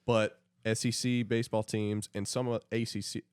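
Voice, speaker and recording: A man with a low voice talks as a podcast co-host in a casual sports chat. The sound is close and dry, with hardly any room on it. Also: level tone, normal speed, a little mellow, smooth, dry recording.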